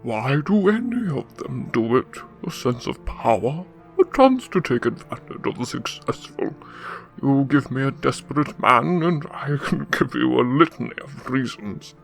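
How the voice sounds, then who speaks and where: An actor playing a suave, pompous older crime boss with an oily, formal manner in a radio drama. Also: male voice, medium pitch, animated, a little slow, vocal fry, faint music behind. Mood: knowing, wry.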